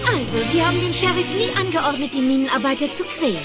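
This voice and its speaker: A woman playing an earnest, excitable, anxious heroine in a children's cartoon audio drama. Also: sits high, fast, over loud music.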